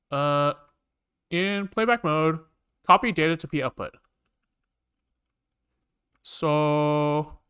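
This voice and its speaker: Bright, clear-voiced man thinking aloud. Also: fairly high pitch. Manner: informal, mutters to self, swears casually. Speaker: programmer live-streaming. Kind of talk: casual think-aloud live coding commentary.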